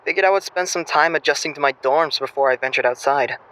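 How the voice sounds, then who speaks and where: A young man playing a flippant, self-absorbed exchange student, evasive and excitable, in an audio drama phone call. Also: fairly high pitch, fast. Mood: awkward, evasive. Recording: noisy phone-call style recording.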